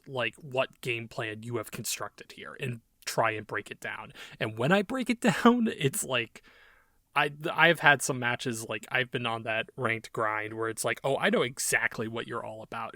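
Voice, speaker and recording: A man's voice: mid-range voice, pushed and bright, no echo, clean studio sound. A man co-hosting a gaming podcast, talking informally and enthusiastically in rambling asides. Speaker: podcast co-host. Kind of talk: casual gaming podcast chat.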